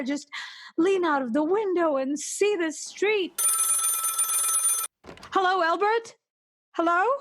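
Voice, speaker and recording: A high-voiced, highly strung invalid wife in a noir radio drama acted by a woman. She is imperious and self-pitying, scolding whoever is on the line as her nerves fray. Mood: agitated, self-pitying, then impatient, alarmed. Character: nervous, demanding bedridden invalid wife, imperious and frantic. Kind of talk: noir radio play acting.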